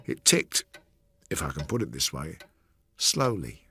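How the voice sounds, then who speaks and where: An actor playing a Victorian investigator, narrating a radio drama in a reflective, deliberate and understated way. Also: male voice, extremely low pitch, big pitch swings, bright, forceful tone, full character voice. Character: measured Victorian investigator recounting a failed case.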